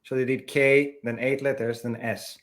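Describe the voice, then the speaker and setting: A man chats animatedly as a guest on a developer livestream. He is middle-aged, and his talk comes in lively bursts full of restarts. His pitch sits in an ordinary middle range for a man. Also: moderate pace. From Greece. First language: Greek. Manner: animated, chatty, with frequent restarts. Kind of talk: casual chat on a livestream.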